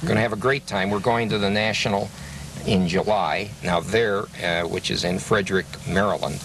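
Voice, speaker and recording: A man's voice: on the low side, steady tone, moderate pace, fairly bright tone. An older man telling stories in a conversational interview, earnest and emphatic, repeating phrases to drive a point home. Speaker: interview guest, classic car owner and restorer. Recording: noisy location recording with vehicle ambience.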